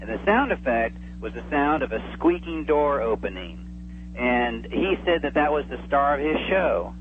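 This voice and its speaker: Over a thin phone line with faint hum, a man of medium pitch talks as an interview guest. He is enthusiastic and discursive, chatting informally as he explains things. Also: sing-song, moderate pace. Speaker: interview guest, old-time radio enthusiast. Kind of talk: casual interview chat. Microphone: telephone.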